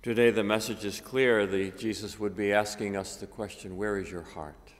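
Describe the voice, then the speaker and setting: An older man, a priest, reading a gospel and preaching a homily at a lectern microphone, measured and halting with many fillers. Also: on the low side, steady tone. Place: cathedral chapel, at a lectern. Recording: long reverb of a chapel.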